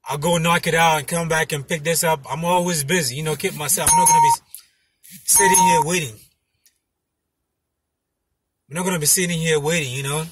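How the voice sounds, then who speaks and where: A man in his thirties talking casually and emphatically to camera as a delivery driver giving tips, repeating his points, with a husky voice. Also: a little high, wildly swooping pitch, quick pace, no echo. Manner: emphatic, repetitive, punctuated with "you know". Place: inside a parked car. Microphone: phone mounted in front of him.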